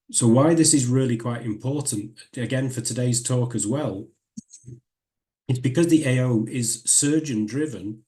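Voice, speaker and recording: A middle-aged Northern English man delivers a university seminar lecture in a mellow, warm-toned voice. He explains things step by step and often restarts his phrases as he goes. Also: medium pitch. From Northern England. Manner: measured, explanatory, with frequent restarts. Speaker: guest lecturer. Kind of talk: academic seminar talk.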